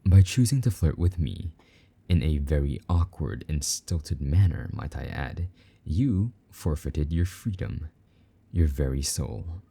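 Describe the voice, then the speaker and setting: Casting: male actor as a smug, possessive demon boyfriend for intimate audio roleplay; bottomless low pitch, husky edge, teasing and doting delivery. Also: lively intonation, slow, warm tone, heavily acted. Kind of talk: intimate scripted roleplay monologue.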